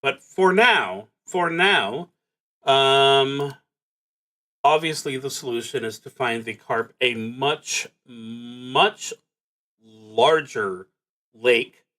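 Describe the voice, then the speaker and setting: A man streaming a solo tabletop roleplaying game, thinking aloud in a halting, musing way with pauses as he goes. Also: medium pitch, sharp tone.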